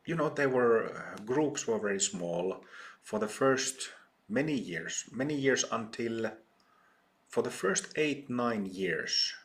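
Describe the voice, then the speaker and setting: A middle-aged man with a Finnish accent, a meditation teacher giving a talk at a retreat, speaking haltingly with many pauses and fillers. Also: medium pitch, expressive. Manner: slow, hesitant, many ums and pauses.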